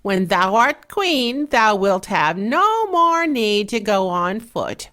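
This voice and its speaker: A woman's voice: mid-range voice, big pitch swings, slow, pushed and bright, pitched above the performer's own voice, exaggerated voice. A woman voicing a scheming, scornful fairy-tale stepmother with an emphatic, commanding manner and old-fashioned phrasing.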